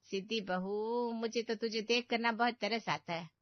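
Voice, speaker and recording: A woman performs a meddling older aunt from a cartoon family tale. Her voice sits fairly high and she nags with overdone sympathy, always finding fault. The sound is very dry and a little muffled. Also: steady tone, moderate pace, no room sound, close to an everyday voice. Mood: mock pity. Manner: complaining, lecturing, exaggerated sympathy. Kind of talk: Hindi family cartoon story voice acting.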